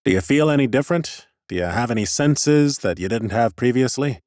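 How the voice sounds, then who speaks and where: A man's voice: mid-range voice, animated, fairly quick, darkish tone, no echo, studio quality, pitched above the performer's own voice, close to an everyday voice. A man playing an ancient, scholarly lich who muses in a precise, pompous and clinically curious way, as in a first-person fantasy audiobook. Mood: brisk, inquisitive.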